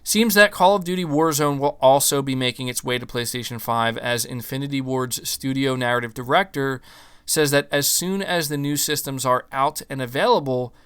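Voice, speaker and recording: A young man hosting a gaming podcast, ranting in casual talk with some swearing, his voice starting to go hoarse. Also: mid-range voice, moderate pace, a bit bright. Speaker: podcast host.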